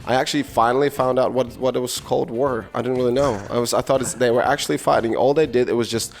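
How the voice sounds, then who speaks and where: A man's voice: medium pitch, steady tone, quick pace, vocal fry. A man with a Latvian accent hosting a casual podcast conversation, rambling and searching for words as he puts his questions and thoughts. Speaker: podcast host. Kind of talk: casual interview chat.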